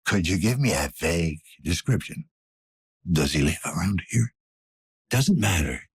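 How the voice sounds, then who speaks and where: An actor playing a weary, gruff older police detective in a cyberpunk video game, with a gravelly, breathy rasp and a terse, dry manner. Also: male voice, low voice, lively intonation, measured pace, heavily acted. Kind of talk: scripted game character dialogue.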